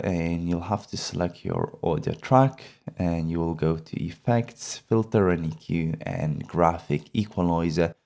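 A man presenting an instructional software tutorial as a voice-over, explaining things in a measured way on a close mic. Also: sits low. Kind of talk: instructional screen-recording voice-over.

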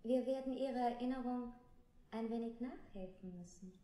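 A woman's voice: on the high side, normal speed, dark tone, lightly acted. A woman playing a coldly menacing interrogator in a radio play, heard in an echoey room. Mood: cold threat. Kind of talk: avant-garde German radio play acting.

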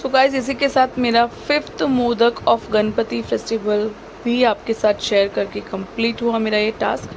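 A woman's voice: fairly high pitch, vocal fry, poor audio. A young woman with an Indian accent and a cold and sore throat, casually explaining a recipe as host of a cooking channel, switching between Hindi and English. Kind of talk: casual recipe demonstration voice-over. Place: home kitchen. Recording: home video with noticeable background noise.

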